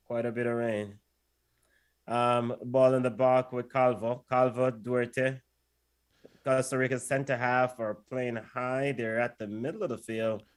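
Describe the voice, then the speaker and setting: A man's voice: mid-range voice, clean tone. A man doing casual play-by-play on a watch-along stream, and often pleading with the players and the referee. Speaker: co-host and match commentator.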